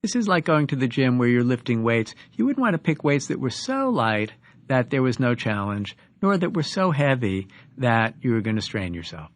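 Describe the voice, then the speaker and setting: A man leading a guided meditation as a teacher, giving instructions with deliberate pauses between phrases. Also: mid-range voice, sing-song, no room sound.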